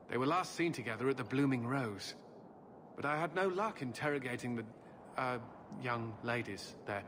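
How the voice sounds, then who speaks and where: A man's voice: mid-range voice, fairly bright tone, natural voice. A man playing a stern knight captain, noisy backdrop. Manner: formal, grave. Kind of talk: video game cutscene acting. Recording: clear ambient game noise behind.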